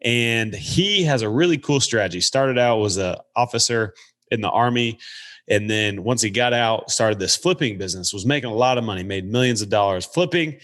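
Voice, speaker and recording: A man's voice: fairly low pitch, moderate pace, fairly bright tone. Nasal, upbeat male podcast host. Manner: upbeat, chatty, some tongue slips. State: nasal from sinus trouble. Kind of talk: casual podcast chat.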